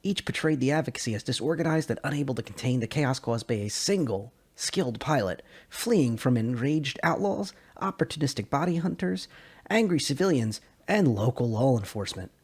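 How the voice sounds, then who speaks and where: Husky man reading dramatically, lively pitch swings. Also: brisk. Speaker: narrator, lore video creator. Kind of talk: dramatic reading of science fiction lore.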